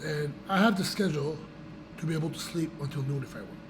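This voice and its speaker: A young man with a voice gone raspy from a cold, chatting casually to his viewers in a rambling way with many restarts and fillers. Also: a little high, fast talker, background noise. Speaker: vlogger. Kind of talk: casual chat to camera.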